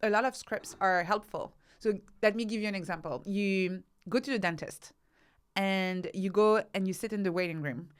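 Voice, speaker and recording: A woman's voice: medium pitch, moderate pace, smooth. Woman explaining ideas in an interview. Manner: instructive, uses rhetorical questions and acted-out examples. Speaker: podcast guest, researcher and author.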